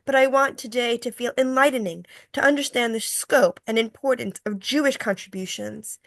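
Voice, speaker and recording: A woman's voice: fairly high pitch, normal speed, vocal fry, a bit bright, no echo, studio quality. A woman acting an earnest, scholarly tour guide in a table read monologue. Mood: earnest, reflective.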